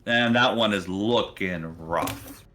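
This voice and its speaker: A man's voice: sits low, slow pace, pushed and bright, a little hiss or hum. A man narrating a tabletop game as game master, improvising and talking players through rules and turns.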